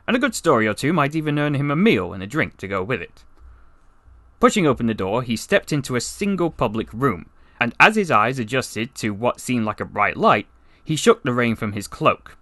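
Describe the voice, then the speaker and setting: A man narrating a fantasy story in an expressive, theatrical audiobook style. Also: mid-range voice, dry recording. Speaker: voice actor reading a story for a portfolio.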